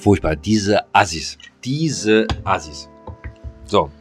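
A man's voice: lowish voice, expressive, takes it slowly, faint music behind. A man co-hosting a casual podcast, playful and sometimes mock-solemn. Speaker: podcast co-host. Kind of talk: casual chat.

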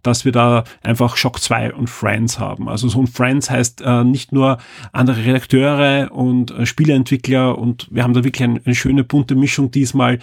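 Slightly hoarse, gravelly man. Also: medium pitch, little pitch movement, moderate pace, dry recording. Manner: chatty, informal, upbeat with filler sounds. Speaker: podcast host. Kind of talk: solo podcast announcements.

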